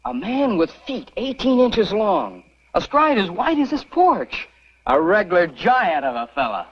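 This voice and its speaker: An eager, lovestruck young man from a Western mining camp. His voice sits fairly high and moves very animatedly, with boyish earnestness. It is an old radio drama recording, dulled with age. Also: huge pitch swings, unhurried, a little hiss or hum, full character voice. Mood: eager, insistent. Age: young adult. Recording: muffled old radio recording, faint music.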